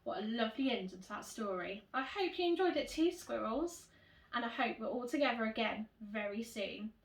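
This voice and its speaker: In an echoey room, a woman reads a storybook to young children. Her fairly high voice moves with lots of expression and variety, like a primary teacher addressing her pupils. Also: lively intonation, moderate pace, gravelly. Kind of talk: animated children's storybook reading. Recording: roomy echo, distant microphone.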